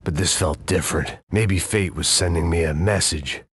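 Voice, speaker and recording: A man's voice: sits low, moderate pace, a bit bright, no echo. An actor playing a world-weary middle-aged male bodyguard and former cop in a noir video game, with dry, sardonic wisecracks and a bleak inner monologue. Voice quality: gravelly, sardonic.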